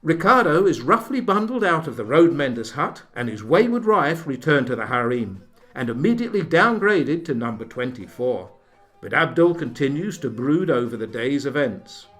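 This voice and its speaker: A man's voice: medium pitch, very animated, normal speed, a bit bright, soft background music. A man narrating a comic radio play from a script in a mock-solemn manner.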